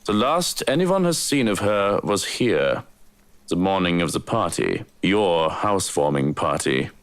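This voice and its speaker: A man's voice: low-pitched, sing-song, a little slow, heavily acted. A man playing a composed, dry and slightly cheeky aide in a visual novel.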